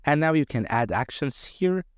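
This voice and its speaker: A man presenting a step-by-step software tutorial in a semi-improvised narration over a screen recording. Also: a little high, animated, normal speed, clear, resonant tone, dry recording, studio quality.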